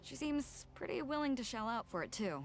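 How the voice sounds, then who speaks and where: A woman's voice: fairly high pitch, sing-song, brisk, a little hiss or hum. A woman playing a bold, commanding sea captain in a video game, with a breezy, wry manner.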